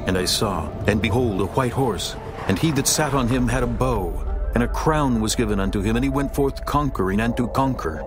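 A man narrating a dramatized scripture reading in a solemn, measured way, over music. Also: mid-range voice.

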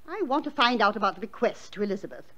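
Firm, animated elderly aunt. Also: female voice, medium pitch, fast. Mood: composed, grave. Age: older. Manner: businesslike, firm. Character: elderly, brisk, wealthy aunt, firm with servants. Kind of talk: old-time radio drama acting.

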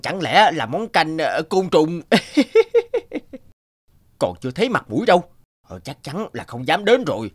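A man's voice: on the high side, very animated, a little slow, pauses often, no room sound, clean studio sound. A man voicing a mocking, scheming student rival in a children's cartoon, with exaggerated delivery. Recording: music under the voice.

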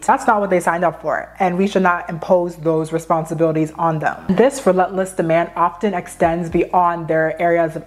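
A young woman giving her opinion in a casual vlog, earnest and plainspoken, filmed close to the camera indoors. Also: on the low side. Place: indoor room.

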